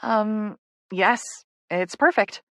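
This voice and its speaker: A woman's voice: mid-range voice, very slow, no room sound, studio quality. Woman as a polite, guarded young female doctor. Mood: polite, uneasy. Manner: hedging, restrained politeness.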